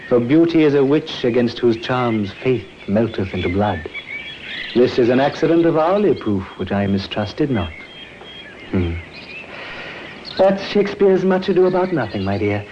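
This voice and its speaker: Man playing a genteel, animated, affectionate husband. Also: mid-range voice, moderate pace, natural voice. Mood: lyrical. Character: attentive, bookish married male antique dealer, smoothly affectionate. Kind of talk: 1950s radio drama acting. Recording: dull, noisy vintage radio recording.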